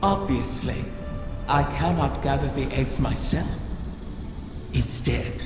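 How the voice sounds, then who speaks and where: Guy doing slow creaky ancient dragon, game villain type, bitter n taunting, huge echoey reverb. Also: mid-range voice, music in the background, natural voice. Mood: imperious, guiding. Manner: slow, theatrical, menacing, archaic phrasing. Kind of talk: video game character acting. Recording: cavernous echo with long reverb.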